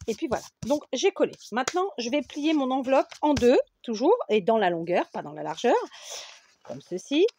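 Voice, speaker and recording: A woman's voice: a little high, very animated, normal speed, a little mellow. Chatty woman teaching a craft. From France. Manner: chatty, checks in often with "d'accord?". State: runny nose. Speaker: craft tutorial host. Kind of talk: casual scrapbooking tutorial. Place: home craft table with a fan running.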